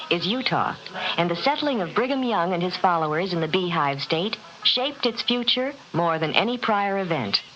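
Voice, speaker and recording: A woman's voice: fairly low pitch, a bit bright. Woman announcer on dull vintage radio. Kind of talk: scripted informational narration. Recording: dull old radio recording, faint noise.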